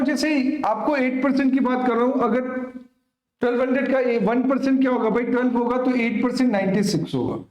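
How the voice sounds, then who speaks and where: A man's voice: very high-pitched, a little mellow. A man teaching an exam coaching class, instructing students familiarly and often answering his own questions. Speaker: maths teacher. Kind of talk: exam coaching lesson, working through a problem. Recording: echoey room.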